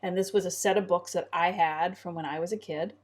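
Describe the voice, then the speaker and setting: A woman's voice: fairly low pitch, steady tone, brisk. A middle-aged woman speaking conversationally as a parent and co-host.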